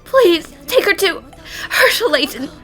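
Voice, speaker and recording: A woman playing a gentle, dying young mother who speaks haltingly and pleadingly in a dramatic fan dub. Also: very high voice, very animated, soft background music, heavily acted. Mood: urgent, pleading.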